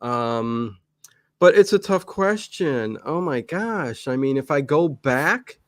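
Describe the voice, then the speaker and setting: A man talks casually as an interview guest in a very dry, close sound. His pitch is average for a man. He rambles and backtracks, repeating words, then leans emphatically on his points. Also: lively intonation, slow, no echo. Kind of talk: casual chat.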